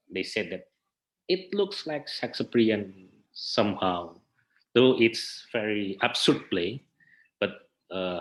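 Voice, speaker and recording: Low-voiced Indonesian man, speaking haltingly. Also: fairly bright tone, low-quality recording. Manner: halting, searching for words. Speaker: interview guest, theatre researcher and festival director. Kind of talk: interview answers, conversational.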